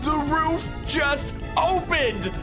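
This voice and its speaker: A woman voicing an excitable, awestruck young schoolkid in a game fan dub, full of drawn-out exclamations. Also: high voice, heavily acted. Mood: amazed, excited. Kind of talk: scripted fan dub acting. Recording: music behind the voice.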